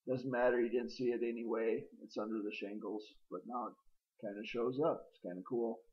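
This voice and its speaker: A man's voice: medium pitch, steady tone, fairly quick, dull audio. A middle-aged man narrating a casual walkthrough of his old barn, hesitant and rambling with many ums and uhs, recorded on a handheld camera outdoors and inside the barn. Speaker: homesteader filming his barn.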